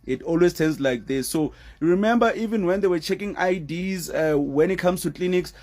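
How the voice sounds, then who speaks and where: Animated man giving casual commentary. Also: sits high, normal speed. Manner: animated, laughing, with many fillers. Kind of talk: casual commentary on a news story.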